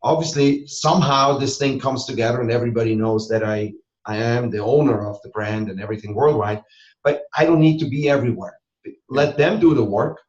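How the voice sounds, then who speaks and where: Male interviewee, medium pitch, conversational delivery, slightly muffled sound. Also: fairly quick, a little mellow. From Switzerland. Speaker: interview guest, hypnosis trainer and school owner. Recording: roomy echo, slightly dull sound.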